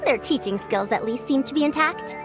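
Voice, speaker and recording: A woman's voice: high voice, fairly quick, over music, close to an everyday voice. A woman voicing a proud, youthful-sounding scholar who lectures like an elder in old-fashioned phrasing, in a video game. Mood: smug. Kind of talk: animated video game voice acting.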